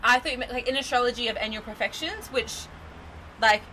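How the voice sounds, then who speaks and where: A young woman with a bright, crisp tone explains her ideas in a relaxed, chatty way. She laughs easily and leans on "like" and "you know". Her voice sits mid-range, with faint noise behind it. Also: fairly high pitch, brisk, poor audio. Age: 20s. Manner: laid-back, giggly, explains with lots of "like" and "you know". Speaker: numerology guest. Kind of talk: casual explanatory chat.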